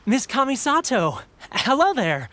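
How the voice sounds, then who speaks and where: A man's voice: extremely high pitch, wildly swooping pitch, unhurried, bright, forceful tone, heavily acted. A man playing a genial, respectful fortune-slip vendor in an anime video game. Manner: genial, deferential.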